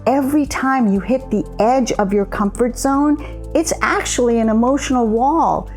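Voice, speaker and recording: Woman, co-founder, measured explainer, fairly high voice, soft music behind. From immigrant. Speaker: co-founder of a coaching method. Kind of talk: scripted promotional conversation.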